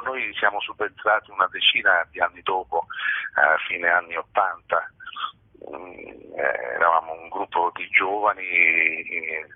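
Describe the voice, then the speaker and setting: Low, husky man on a phone line. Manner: hesitant, halting, thoughtful, searching for words. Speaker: stage actor, interview guest. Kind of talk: spontaneous interview answers. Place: phone interview. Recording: muffled roomy telephone line.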